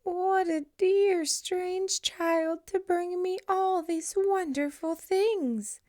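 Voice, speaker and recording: Lady voicing really old lady in a kids book, high, slow, gentle, kinda wondering. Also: heavily acted. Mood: tender wonder. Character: ninety-six-year-old lady, gentle, wondering, fond.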